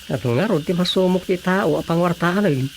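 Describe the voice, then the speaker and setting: An actor playing a talkative provincial man in a radio comedy, with an Ilocano accent and a gravelly, folksy delivery. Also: sits high, a little fast, a little hiss or hum, rough recording. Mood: chatty, curious.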